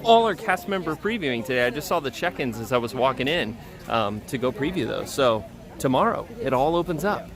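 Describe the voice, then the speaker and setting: Guy livestreaming outside, bit hoarse, chatty w viewers and friends, medium pitch. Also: sing-song, a little fast, a crowd in the background. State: slightly hoarse. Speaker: live stream host. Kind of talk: casual live-stream chat. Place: outdoors at a busy theme park.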